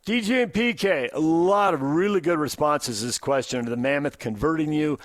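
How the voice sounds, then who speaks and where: A man's voice: fairly high pitch, normal speed, no room sound. Dry, close-miked American sports radio man. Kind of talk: casual sports talk. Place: radio studio. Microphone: close studio microphone.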